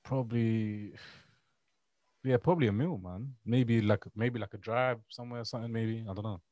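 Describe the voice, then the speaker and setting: A man's voice: medium pitch, normal speed, darkish tone, dry recording, dull audio. A young man with a London accent, chatting casually and in slang as a podcast co-host. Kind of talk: casual chat. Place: indoors, remote video call.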